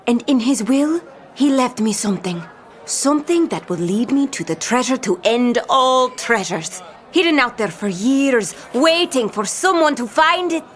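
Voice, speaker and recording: A bold young pirate captain with a high, very animated voice. She swaggers and boasts in theatrical audio drama style, full of salty pirate talk. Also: a little slow. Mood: eager, conspiratorial.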